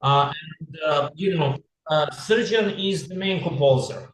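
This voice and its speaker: A man from Serbia, answering interview questions in English over a video call, halting and full of "you know". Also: a little high, reverberant, low-quality recording. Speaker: band member.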